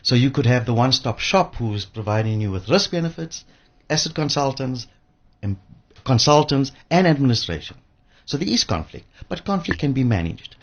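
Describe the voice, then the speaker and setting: Animated, husky man. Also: mid-range voice, highs cut off. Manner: emphatic, repeats words for stress. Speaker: studio guest, pension fund expert. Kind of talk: conversational expert interview.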